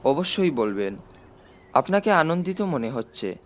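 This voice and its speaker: A man voicing a polite, worried, learned secretary in a deferential, measured way for an audiobook. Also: on the high side, very animated. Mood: politely uneasy. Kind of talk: audiobook character dialogue.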